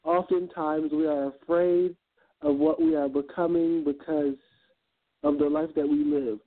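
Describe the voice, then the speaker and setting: A man's voice: on the high side, little pitch movement, unhurried. A man from Guyana, a guest on an internet radio interview, speaking in an earnest, reflective way with many ums and uhs. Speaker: guest, event planner and entrepreneur. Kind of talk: motivational interview talk. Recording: tinny phone line.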